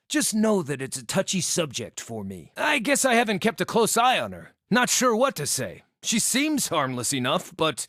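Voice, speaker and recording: A man's voice: a little high, a bit bright, no echo, studio quality. A man voicing a witty, cheeky male wandering druid hunter in a fantasy video game, with a wry, theatrical way of talking. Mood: candid, guarded. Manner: wry, theatrical, colloquial yet florid. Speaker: video game companion voice actor. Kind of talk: expressive scripted fantasy game dialogue.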